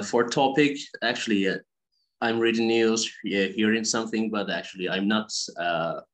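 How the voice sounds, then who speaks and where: A man who coordinates the group introducing himself on a video call, halting and unsure with many fillers. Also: on the low side, a bit bright, poor audio.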